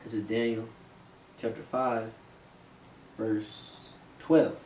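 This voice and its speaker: A man's voice: lowish voice, little pitch movement, slow, a little mellow. A man reading scripture aloud in a small group discussion, rising in emphasis on key phrases. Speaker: scripture reader. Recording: echoey room, distant mic, faint hiss.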